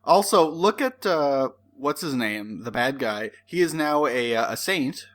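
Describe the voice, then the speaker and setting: A man's voice: mid-range voice, animated, a little slow, fairly bright tone. A man co-hosting a comedy podcast, dropping dry one-liners. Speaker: podcast co-host. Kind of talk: casual comedic banter.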